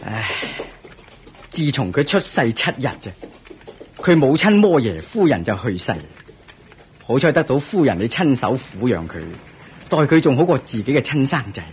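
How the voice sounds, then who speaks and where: A man's voice: medium pitch, big pitch swings, moderate pace, a little hiss or hum, lightly acted. A man with a Hong Kong Cantonese accent playing a proud, doting ancient king in a radio drama, speaking in a theatrical, regal way. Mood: reflective, fond. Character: proud, doting ancient Indian king, anxious about his heir.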